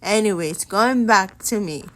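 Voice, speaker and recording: Slow, earnest young woman hosting a podcast. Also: mid-range voice, a bit bright. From Mexico. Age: 20s. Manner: earnest, unhurried, talks with many pauses and restarts. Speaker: podcast host. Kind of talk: personal storytelling podcast.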